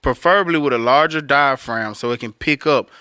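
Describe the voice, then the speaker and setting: Bright, crisp male podcast co-host. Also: fairly quick, dry recording, clean studio sound. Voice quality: deep voice. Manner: conversational explainer, addressing listeners directly. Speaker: podcast co-host and recording engineer. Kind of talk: casual instructional talk.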